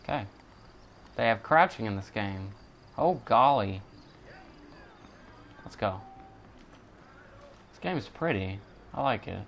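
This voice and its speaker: A man's voice: fairly low pitch, animated, slow, gravelly, long pauses, fairly bright tone, dull audio, slight background noise. A man giving casual, rambling commentary while he plays a video game. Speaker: gamer recording a playthrough.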